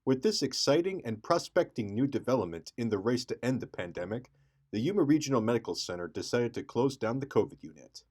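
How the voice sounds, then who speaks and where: A man's voice: mid-range voice, expressive, a little fast, a little mellow. A young man reading a scripted local news report as a reporter. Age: young adult.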